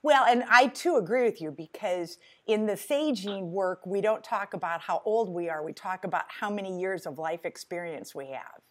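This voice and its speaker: A woman's voice: mid-range voice, lively intonation, normal speed, hardly any pauses. A woman in her sixties hosting a podcast interview, enthusiastic as she introduces her guest. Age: 60s. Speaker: podcast host.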